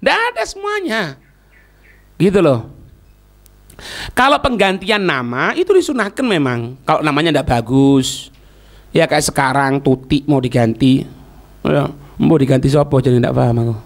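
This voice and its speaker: A man's voice: on the high side, very animated, normal speed, creaky, long pauses. A man with a Javanese accent giving an informal Islamic lecture, explaining in colloquial, didactic style and often checking that listeners follow. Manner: colloquial, acting out short example quotes. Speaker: Islamic preacher teaching religious law.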